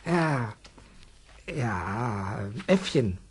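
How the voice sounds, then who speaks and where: Radio play role: simple-minded servant, stammering, very husky, painfully slow delivery. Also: male voice, on the low side, big pitch swings, full character voice. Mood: childlike excitement. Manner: slow, halting, stammering, repeats words. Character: simple-minded, excitable, childlike male hotel servant. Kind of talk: children's radio play acting.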